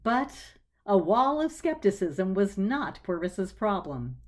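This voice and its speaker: A woman's voice: mid-range voice, very animated, measured pace. A woman reading scripted story narration in a wry, conversational storytelling manner.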